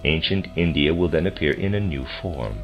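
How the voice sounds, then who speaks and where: A man reading a translated lecture aloud like an audiobook, with measured, formal and deliberate phrasing. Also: very deep voice, creaky. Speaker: lecture reader. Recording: dull lo-fi recording with music behind. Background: music.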